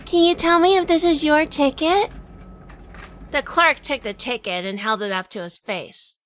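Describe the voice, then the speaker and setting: A woman playing a sardonic, quick-witted female detective in a hardboiled noir audio drama, narrating and talking with wry humor. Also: sits high, fairly bright tone, a little hiss or hum. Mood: brisk, snappy. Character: sardonic quick-witted 1940s female gumshoe, weary wife.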